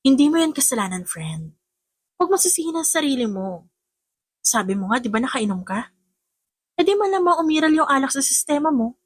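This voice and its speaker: Lady playing a sensible young bestie, high voice, earnest and persuasive, audiobook drama. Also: very animated, fairly quick, pauses often, dry recording, studio quality. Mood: reassuring. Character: supportive, sensible young woman advising her best friend. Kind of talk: audiobook character acting.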